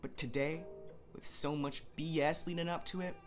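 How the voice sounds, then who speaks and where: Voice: mid-range voice, steady tone, normal speed, music in the background. A narrator giving a wry, theatrical dramatic reading of a scripted story.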